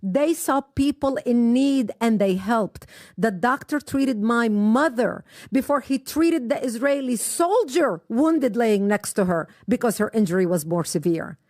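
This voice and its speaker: Impassioned high-voiced woman from Lebanon. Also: expressive, a little slow, a little mellow, no room sound, studio quality. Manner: impassioned, emphatic storytelling. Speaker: guest. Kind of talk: personal testimony in an interview.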